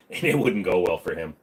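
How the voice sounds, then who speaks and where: A man's voice: sits low, darkish tone. A man hosting a casual livestream, answering viewer questions with crude, rambling jokes.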